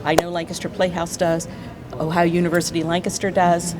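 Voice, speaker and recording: A woman's voice: fairly low pitch, flat delivery, a little fast, crowd noise. An American woman talking casually and enthusiastically as an interview guest. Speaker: guest, community theater player. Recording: faint background noise.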